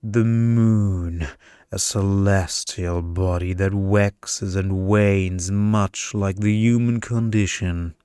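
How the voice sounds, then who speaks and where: A man voicing a boring, pompous energy vampire from a romantic bedtime story, in a deadpan monotone. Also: sits low, slow, clean tone, dry recording, studio quality. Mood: pompous, murmuring.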